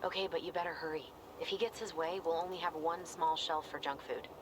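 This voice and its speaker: A woman's voice: deep voice, fairly even intonation, brisk, noisy background, natural voice. An actress playing a wry, affectionate young American woman in a video game, chatty and dryly playful. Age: young adult. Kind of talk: naturalistic video game dialogue.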